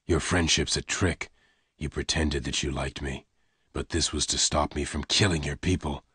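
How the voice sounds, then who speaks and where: A man voicing a calm, blunt male gunfighter who speaks low, hushed and clipped. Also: moderate pace, breathy edge, no echo, studio quality, heavily acted.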